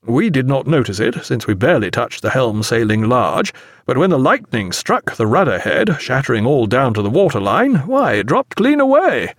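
A man's voice: mid-range voice, big pitch swings, moderate pace, no room sound, clean studio sound. A man voicing a cheerful, nonchalant sea captain in an audiobook, with a hearty, breezy manner. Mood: frank, unruffled. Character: cheerful, unruffled male sea captain at breakfast.

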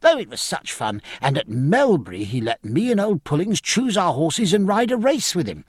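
Eager young naval lad, performed by a male narrator: cheerful, boastful, gushing, very lively intonation, for a storybook. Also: a little high, no room sound, clean studio sound, voice pushed up. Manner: gushing, breezy, old-fashioned naval slang.

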